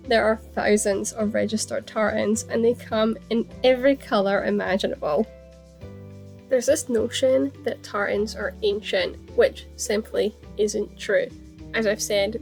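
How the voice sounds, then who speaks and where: A Scottish woman hosting a sewing vlog, chatting and narrating casually. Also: on the high side. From Scotland.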